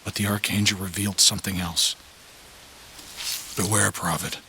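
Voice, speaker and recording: An actor playing an elderly, zealous prophet villain in a video game, preacherly and deliberate, with grandiose menace. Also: male voice, on the low side, flat delivery, bright, forceful tone, a little hiss or hum. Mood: solemn prophecy. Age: older. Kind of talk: dramatic video game voice acting.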